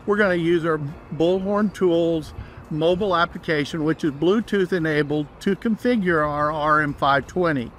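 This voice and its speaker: A man's voice: on the high side, a bit bright, a little hiss or hum. A man giving an instructional walkthrough as a trainer, explaining each step plainly.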